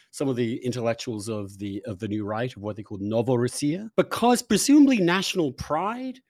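A man's voice: medium pitch, a little mellow. A man hosting an intellectual interview in a conversational, discursive and emphatic way, as if thinking aloud. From Britain. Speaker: interviewer, host.

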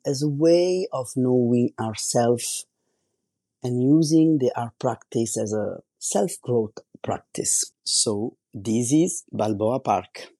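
A man with an Italian accent talks through a solo podcast at an unhurried, careful pace, stopping to hunt for words in a language that isn't his first. His voice is mellow and dark, recorded close and dry with no room sound. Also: mid-range voice, no room sound. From Italy. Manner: slow, careful, searching for words. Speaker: podcast host.

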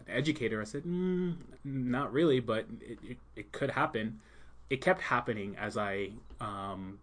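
Man, middle-aged, Jamaican-born, lowish voice; soft-spoken interview guest, reflective with frequent ums. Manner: soft-spoken, many ums, thoughtful pauses. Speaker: school leader and art teacher. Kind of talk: casual podcast interview.

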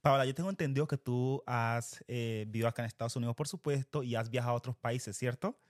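A man's voice: mid-range voice, fast, no room sound. Male podcast co-host, dry sound. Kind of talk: casual conversational interview.

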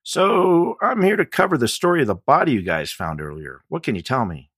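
A man's voice: medium pitch, big pitch swings, fairly quick, fairly bright tone, breathy edge, no room sound, clean studio sound, voice pushed up, exaggerated voice. A man reading the part of a brash, sardonic male journalist in an audiobook, wry and challenging. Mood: pushy, wry. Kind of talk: audiobook character dialogue.

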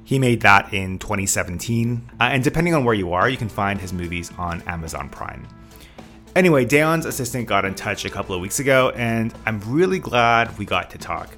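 A man's voice: on the low side, animated, brisk, a bit bright, soft background music. A middle-aged man hosting a podcast, talking in an informal, conversational way. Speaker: podcast host, interviewer. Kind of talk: casual podcast introduction and chat.

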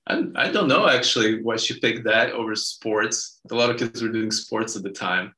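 Podcast guest, man, late 20s, Israeli-born, Russian first language; bright tone, roomy sound. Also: fairly low pitch, moderate pace. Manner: relaxed storytelling with many fillers. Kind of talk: casual interview.

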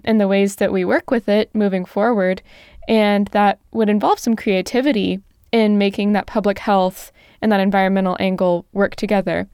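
A woman's voice: medium pitch, a little mellow, smooth, dry recording, studio quality. A woman co-hosting a podcast, discussing issues in a reflective style. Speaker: podcast co-host.

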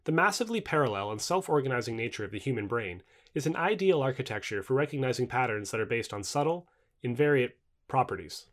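Brisk, expressive male narrator. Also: mid-range voice. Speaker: reader of a non-fiction book. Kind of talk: scripted audiobook-style narration.

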